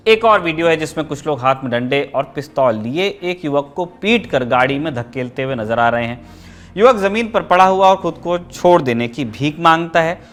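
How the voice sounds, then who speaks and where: Higher-voiced man presenting news commentary. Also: fairly bright tone. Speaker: news show host.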